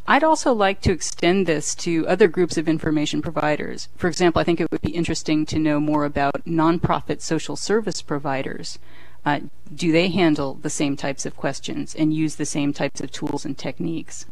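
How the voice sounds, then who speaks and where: A woman presenting research findings at a conference in a steady, explanatory way. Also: sits low, creaky, rough recording.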